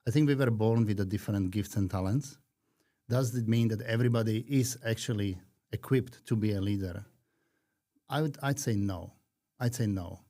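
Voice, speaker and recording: A man in his fifties from Slovakia with a Slovak accent, talking earnestly and reflectively as a podcast guest, with frequent pauses. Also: lowish voice, mellow. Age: 50s. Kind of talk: conversational interview.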